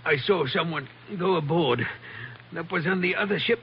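An actor playing a gravely wounded man in a 1940s radio thriller, gasping and strained as his strength fails. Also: a little high, moderate pace, lightly acted. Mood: pained, desperate. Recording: muffled, noisy 1940s radio recording.

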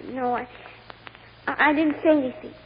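Lady doing a high pitched timid little girl on the witness stand, old radio drama, a bit of noise. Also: fairly even intonation, moderate pace, lightly acted. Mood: hesitant, anxious. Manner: simple, literal, childlike phrasing. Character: timid, innocent little girl testifying in court. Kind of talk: 1940s radio drama acting. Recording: old radio recording, narrow band, light noise.

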